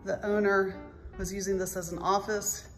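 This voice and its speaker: A woman's voice: medium pitch, little pitch movement, normal speed, soft background music. A middle-aged woman, a real estate agent, narrating a house walk-through on a handheld phone inside an empty home. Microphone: handheld phone behind the camera.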